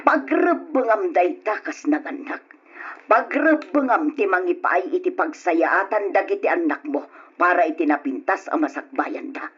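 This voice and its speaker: A woman's voice: fairly low pitch, expressive, normal speed, slightly rough. A woman playing a protective middle-aged mother in a radio drama, earnestly reproving her husband. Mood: reproachful. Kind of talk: Ilocano radio drama acting. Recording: dull lo-fi old radio recording.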